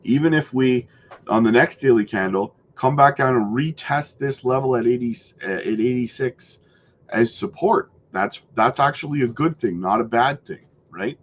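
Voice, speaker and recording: A sick, stuffy man with a mid-pitched, worn-out voice runs through a casual crypto market update, checking in with his viewers as he goes. The recording sounds dull and muffled, with a faint hiss underneath. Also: normal speed. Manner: chatty, keeps checking in with "right?" and "guys". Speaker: crypto channel host.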